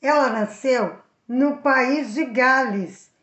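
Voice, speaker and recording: Brazilian woman storyteller, higher voice, lively and direct with young listeners, roomy sound. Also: slow pace. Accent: Brazilian Portuguese. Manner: animated, addresses the young viewers directly. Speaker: children's Bible storyteller. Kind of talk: storytelling for children.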